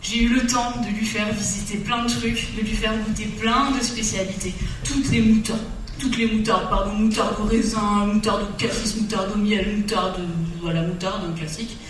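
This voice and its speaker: A woman's voice: mid-range voice, steady tone, normal speed, recorded from a distance, slight background noise. A woman telling a comic love story on stage, theatrical and breaking into song. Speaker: stage performer. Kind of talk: comic storytelling monologue with songs. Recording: echoey hall recorded from afar.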